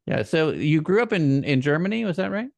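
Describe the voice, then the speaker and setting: A man's voice: a little high, big pitch swings, a little fast, gravelly, no room sound. A man hosting a podcast interview, asking questions in a conversational, encouraging way. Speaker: podcast host.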